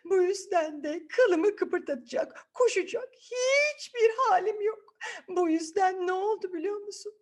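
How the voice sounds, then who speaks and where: Woman playing a high, wailing old weary dog. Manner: wailing, drawn-out moaning.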